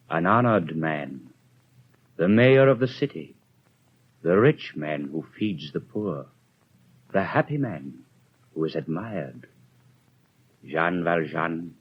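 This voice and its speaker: An actor voicing a solemn, accusing inner voice of conscience in a 1930s radio drama. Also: male voice, low-pitched. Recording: thin phone-like 1930s radio sound.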